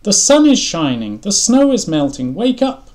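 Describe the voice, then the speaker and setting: A man acts out a cheerful storybook frog who is eager and insistent. The voice jumps high and loud as it calls out to a sleepy friend. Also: big pitch swings, brisk. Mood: urgent calling. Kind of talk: children's storybook read-aloud.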